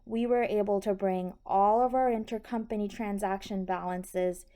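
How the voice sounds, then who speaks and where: A woman's voice: medium pitch, fairly even intonation, moderate pace, mellow. A woman instructor patiently explaining a tutorial step by step, often checking that the listener is following. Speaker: accounting instructor.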